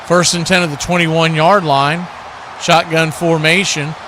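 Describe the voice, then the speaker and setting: A man's voice: a little high, little pitch movement, a little slow, noisy background. A man calling live football play-by-play as host, in clipped, telegraphic phrases. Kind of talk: live sports play-by-play commentary.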